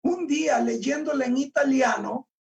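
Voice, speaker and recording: A male pastor preaching a sermon, reading scripture aloud and explaining it with deliberate emphasis on key words. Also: extremely high pitch, recorded from a distance. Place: church.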